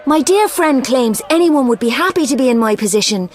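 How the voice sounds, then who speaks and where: A woman's voice: sits high, expressive, brisk, a little mellow. An actress playing a flirtatious, superstitious lady gambler in a video game, with a florid, coquettish and dramatic delivery. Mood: dramatic, troubled.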